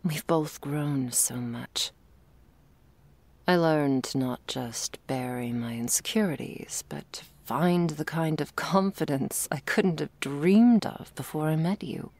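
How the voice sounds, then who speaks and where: A woman playing a confident, teasing but vulnerable girlfriend in an intimate ASMR romance roleplay, confiding tenderly and haltingly, often dropping into breathy whispers. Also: low voice, a little mellow, no room sound, heavily acted. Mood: earnest, vulnerable.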